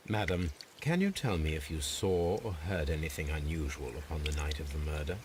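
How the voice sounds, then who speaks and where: Really really low detective in a game, polite, measured, probing, bit of background noise. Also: male voice, animated. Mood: courteous, probing. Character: composed, probing, courteous gentleman detective. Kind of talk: scripted game dialogue.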